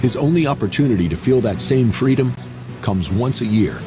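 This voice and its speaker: A man's voice: medium pitch, animated, brisk, over music. A man delivering scripted narration for a documentary.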